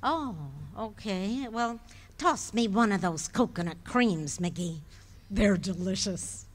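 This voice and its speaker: An amateur actress playing a fond, sharp-witted wife in a live radio play, teasing and sweetly scolding. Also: female voice, medium pitch, slow. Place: ballroom stage before an audience. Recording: live ballroom sound with reverb.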